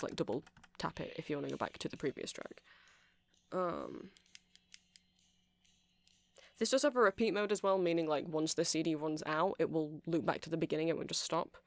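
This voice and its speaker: A woman's voice: sits low, animated, moderate pace, no echo. A woman giving a rambling, chatty ASMR demonstration, explaining things step by step. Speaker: ASMR creator.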